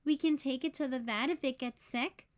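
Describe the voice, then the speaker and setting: A woman's voice: sits high, normal speed, lightly acted. A woman voicing an eager, polite young boy in a children's picture book, speaking earnestly and simply.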